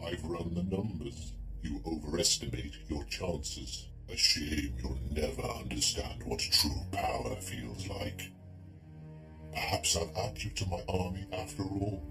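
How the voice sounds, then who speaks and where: Very deep, arrogant Sith lord. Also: male voice. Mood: gloating menace. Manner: menacing, haughty, deliberate. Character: arrogant cybernetic Sith lord, cold male villain. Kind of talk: video game villain acting. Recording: music behind the voice.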